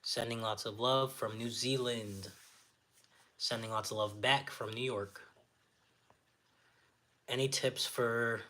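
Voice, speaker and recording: Raspy, nasal man with a bright edge. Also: mid-range voice. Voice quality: naturally raspy. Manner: sniffles often; friendly, encouraging, chatty with filler words. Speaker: livestream host. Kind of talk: casual livestream chat, reading viewer comments aloud.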